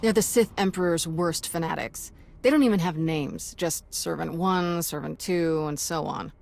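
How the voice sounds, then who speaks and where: An actress playing an earnest, spirited warrior companion in a video game, talking with urgency. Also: female voice, on the low side, normal speed, vocal fry, a little mellow. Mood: urgent, determined.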